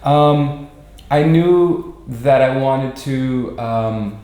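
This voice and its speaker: A man's voice: mid-range voice, dark tone. American man in his forties, echoey room. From Miami, United States. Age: 40s. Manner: halting, searching for words, repeats himself. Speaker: interview guest, performer and director. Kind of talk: casual reflective chat.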